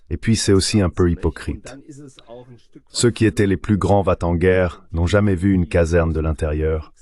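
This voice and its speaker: A man's voice: low voice, darkish tone. A man speaking as an expert guest, giving critical, opinionated answers in a dubbed political interview.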